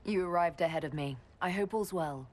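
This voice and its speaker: An actress playing a composed female leader in a video game, speaking calmly and diplomatically. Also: fairly low pitch, brisk, lightly acted. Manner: calm, diplomatic. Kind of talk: video game cutscene acting.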